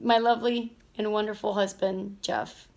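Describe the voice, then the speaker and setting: A woman's voice: medium pitch, steady tone, normal speed, gravelly, darkish tone. Rambling woman from Venezuela. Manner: rambling, with filler-heavy restarts. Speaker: vlog host and stay-at-home mom. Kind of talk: casual family vlog introduction.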